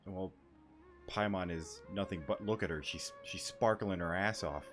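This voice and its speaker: A man's voice: low voice, moderate pace, a bit bright. A young man giving casual, rambling, joking reaction commentary as he plays a game. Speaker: gaming video host. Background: game music.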